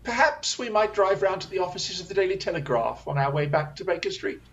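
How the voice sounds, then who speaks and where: An actor playing a brilliant, wry, self-assured Victorian detective in an audio drama, with a crisp, theatrical, commanding manner. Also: medium pitch, animated, fairly quick, muffled recording, natural voice. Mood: thoughtful, confident.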